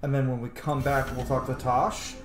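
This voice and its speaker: A man's voice: medium pitch, level tone, normal speed, soft background music. A man giving chatty, casual video game commentary, thinking aloud and swearing now and then. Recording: roomy echo, light background noise.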